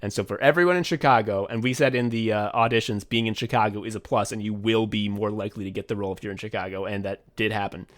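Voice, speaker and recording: A man's voice: lowish voice, steady tone, fast, few pauses. A man co-hosting a podcast, chatting casually and telling rambling, profane behind-the-scenes stories with lots of restarts. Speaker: podcast co-host.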